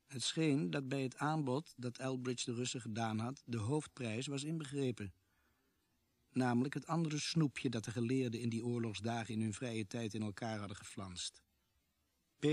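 An actor playing the sober narrator of a radio crime thriller, telling the story in the first person in a measured way. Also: male voice, mid-range voice, no echo, natural voice.

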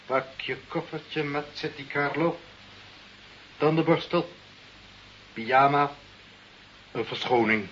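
An actor in a Dutch radio play playing a pompous, blustering government director with a preachy, repetitive way of talking. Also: male voice, on the high side, takes it slowly, long pauses, fairly bright tone. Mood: stern, magnanimous. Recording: muffled, hissy old radio recording.

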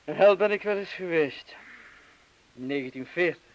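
A male actor as a reflective ex-bomb-disposal man in a radio drama. His voice sits a little high for a man, and he speaks in measured, understated phrases, sceptical and modest about himself. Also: animated, muffled recording, natural voice. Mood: wry, self-deprecating. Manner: measured, understated, terse replies. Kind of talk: radio play acting.

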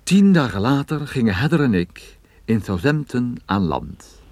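An actor playing a cool private detective narrating his own case in measured radio play style. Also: medium pitch, sing-song, a little mellow. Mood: measured, grave. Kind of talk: scripted first-person radio play narration.

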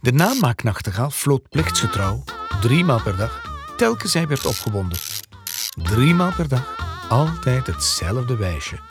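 A man narrating a scripted fairy tale as an expressive storyteller. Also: medium pitch, a little slow, music in the background.

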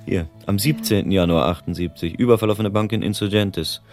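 An actor playing a world-weary private detective in a German radio drama, explaining things bluntly in colloquial speech. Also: male voice, deep voice, fairly quick, darkish tone, faint music behind. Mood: insistent, explaining.

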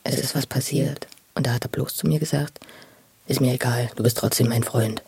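A person, speaking haltingly and emotionally in a breathy, creaky voice that often drops to a whisper, repeating words and searching for the next one. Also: medium pitch, darkish tone, slight background noise.

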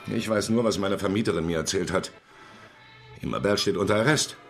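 A man's voice: deep voice, brisk, faint music behind. An actor playing a naive, trusting and stubborn man who has been swindled, earnest and defensive, in a German radio crime drama.

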